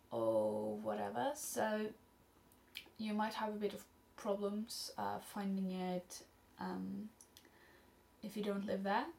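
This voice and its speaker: A woman's voice: medium pitch, gravelly, darkish tone, a little hiss or hum. A Norwegian teenage girl with a Norwegian accent, answering viewer questions in a chatty, rambling home vlog, filmed close on a camera mic. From Norway. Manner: rambling and chatty, many ums and restarts, laughs often. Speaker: studyblr vlogger answering questions. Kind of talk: casual Q&A vlog.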